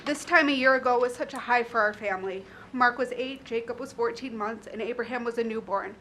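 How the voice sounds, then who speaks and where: High-voiced Chicago woman at podium. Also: brisk, pushed and bright, a little hiss or hum. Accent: Northern US (Chicago). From Chicago, USA. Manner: earnest, emotional. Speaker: public commenter. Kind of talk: prepared public statement. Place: county courtroom. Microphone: podium mic.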